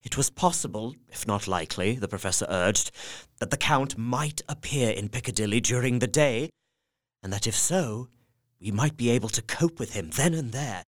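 A man's voice: medium pitch, expressive, normal speed. A man narrating a gothic drama in the first person, earnest and heartfelt. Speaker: narrator and young husband character.

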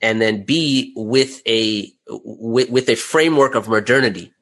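A man's voice: medium pitch, measured pace, fairly bright tone. A man speaking as an interview guest, a creator explaining his process step by step in a conversational way and posing rhetorical questions as he goes.

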